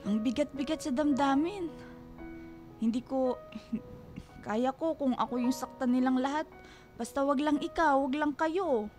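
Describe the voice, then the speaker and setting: A woman's voice: sits high, measured pace, long pauses, a little mellow. A woman with a Filipino accent acting a fiercely protective, anguished mother in a radio drama, emphatic and pleading. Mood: anguished, guilty. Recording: music bed under the voice.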